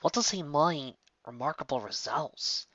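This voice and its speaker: A man's voice: on the high side, animated, a little slow, sharp tone, no room sound, dull audio, pitched above the performer's own voice, exaggerated voice. A man voicing a plain-spoken, wry pony mare in a story reading, questioning and muttering. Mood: wry, puzzled.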